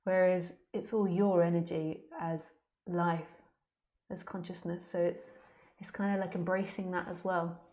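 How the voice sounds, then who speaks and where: A woman's voice: fairly low pitch, level tone, unhurried, warm tone. A woman speaking as a spiritual teacher in an informal question-and-answer talk, candid, plain-spoken and conversational.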